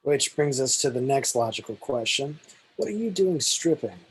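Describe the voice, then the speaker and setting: A man playing a blunt, wry dead apostle with a slightly husky voice, in a script table read. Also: medium pitch, sing-song, normal speed, heavily acted, poor audio. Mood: matter-of-fact.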